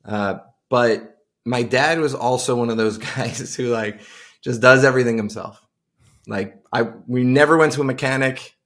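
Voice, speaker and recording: Middle-aged man with a creaky voice. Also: medium pitch, normal speed, fairly bright tone. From Long Island, United States. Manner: informal, anecdotal storytelling. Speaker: podcast guest, tech entrepreneur. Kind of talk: casual conversational interview.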